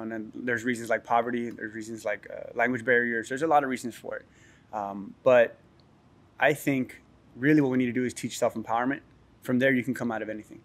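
A man in his thirties from Texas and Chicago, explaining his training gym in a sit-down interview in an indoor gym, earnest and full of "uh" restarts. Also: mid-range voice. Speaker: gym owner and ninja athlete.

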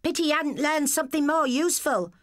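An English woman voicing a sharp, bitter stepmother in a storybook, nagging and indignant. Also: sits high, a little fast, no echo, studio quality, pitched above the performer's own voice, lightly acted. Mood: scornful.

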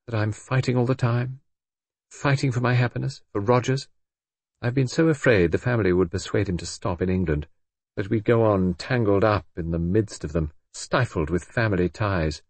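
A man voicing a tense young wife who speaks in a low, hurried, desperate way. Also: darkish tone, slightly rough, dry recording.

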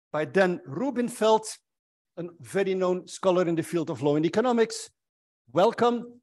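Genial man chairing a conference. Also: sits high. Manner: genial, lightly joking, formal announcements. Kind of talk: welcoming remarks at an academic conference. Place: wood-panelled university lecture hall. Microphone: lectern microphone.

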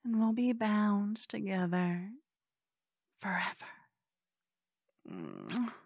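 A woman's voice: medium pitch, huge pitch swings, extremely slow, creaky, a little mellow, dry recording, clean studio sound, exaggerated voice. A woman playing a cute, obsessed, scheming yandere con woman in an ASMR roleplay, coy and cooing, often slipping into whispers. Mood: tender, possessive.

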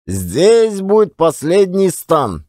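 An awestruck prospector boss in a storybook tale of the wilds, played by a man reading aloud. He is gold-obsessed and stretches out his emphatic words. His voice is high and slow. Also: huge pitch swings, darkish tone, no room sound, studio quality, exaggerated voice. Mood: commanding.

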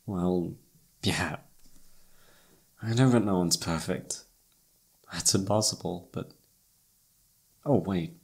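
A man playing a self-doubting, lovestruck best friend in an intimate ASMR roleplay, speaking softly and haltingly with tender hesitation. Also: deep voice, breathy edge, heavily acted. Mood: self-doubting, regretful. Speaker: amateur voice actor.

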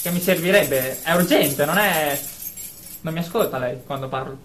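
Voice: medium pitch, normal speed, fairly bright tone, soft background music, close to an everyday voice. An actor playing a sixteen-year-old delusional boy in a radio drama, earnest, hesitant and defensively stubborn. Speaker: therapy patient character.

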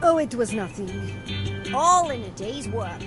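Casting: high-voiced lady rabbit, brisk, self-assured and cheerful, kids' cartoon game. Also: sing-song. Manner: confident, cheery swagger. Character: confident, brisk adult female rabbit, cheerfully heroic. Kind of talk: children's cartoon game voice acting. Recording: music behind the voice.

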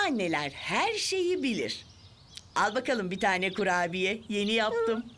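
A woman voicing a cheerful, proud mother hen in a children's cartoon, expressive and theatrical. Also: mid-range voice, a little slow, noisy background. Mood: proud, kindly.

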